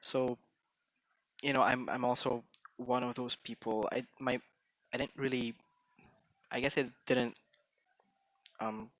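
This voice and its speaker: Bright streamer man. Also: medium pitch, little pitch movement, dry recording. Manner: chatty, hesitant restarts, mixes in Tagalog phrases; tends to talk fast. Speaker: game streamer. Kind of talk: casual live-stream chat.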